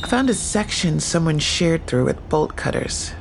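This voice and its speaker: A man's voice: on the high side, very animated, moderate pace, vocal fry, noisy background. An actor playing a persistent male detective who keeps questioning a case. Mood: probing. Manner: questioning, insistent.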